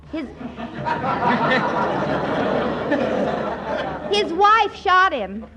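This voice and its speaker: Naive high-voiced young mother. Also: very animated, drawn-out words, over a noisy crowd, very noisy, heavily acted. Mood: coy, guileless. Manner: innocent, matter-of-fact. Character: naive, guileless, chatty young single mother. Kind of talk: 1940s radio comedy acting. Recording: vintage 1940s radio broadcast.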